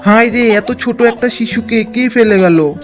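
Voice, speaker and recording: A man voicing a gentle, earnest village husband in a cartoon, with faint music behind. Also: very high-pitched, normal speed. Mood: tender. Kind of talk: cartoon dub acting.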